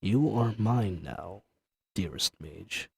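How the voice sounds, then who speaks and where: A man's voice: low voice, lively intonation, slow, creaky, darkish tone, slightly rough, heavily acted. A man voicing an ancient dragon familiar in an intimate audio roleplay, speaking formally, possessive and seductive, condescending yet soothing. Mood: tender, possessive. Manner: formal, archaic diction, soothing and teasing. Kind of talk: intimate scripted roleplay audio addressed to the listener.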